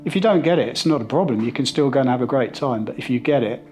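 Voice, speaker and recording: Clear background music with guitar sits behind a middle-aged man giving an interview testimonial. His pitch is average for a man, and he talks in a conversational, reflective way with evident enthusiasm. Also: animated, fast. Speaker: sound system installer interviewee.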